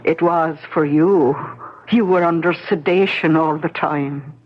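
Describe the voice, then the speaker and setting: An actress playing an earnest, confiding wife in a 1970s radio drama. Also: female voice, low-pitched, a little slow. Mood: soothing. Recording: muffled vintage radio broadcast.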